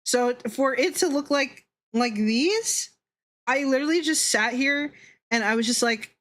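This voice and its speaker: A woman's voice: high-pitched, unhurried, fairly bright tone. A woman livestreamer chatting casually with her viewers in a playful, teasing, giggly way, swearing freely. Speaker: streamer, VTuber. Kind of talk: casual livestream chat with viewers while drawing.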